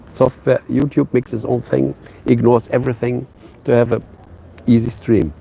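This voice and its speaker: Gravelly-voiced man chatting live. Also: medium pitch, flat delivery, dark tone, a little hiss or hum. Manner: hesitant, halting, many fillers and restarts. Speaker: live streamer. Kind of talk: casual live stream chat. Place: outdoors. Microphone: phone on a tripod.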